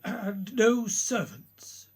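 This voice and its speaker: A man playing a formal senior professor who speaks deliberately and questioningly in a radio drama. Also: high voice, sing-song, darkish tone, husky, full character voice. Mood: probing, wry. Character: measured, probing senior professor of surgery.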